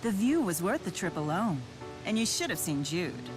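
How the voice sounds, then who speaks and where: A young spirit woman from a video game, cheerful yet formal. Her voice sits fairly low and her phrasing is measured and curious. Music plays clearly behind her. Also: big pitch swings. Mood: amused. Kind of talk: video game cutscene voice acting. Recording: music under the voice.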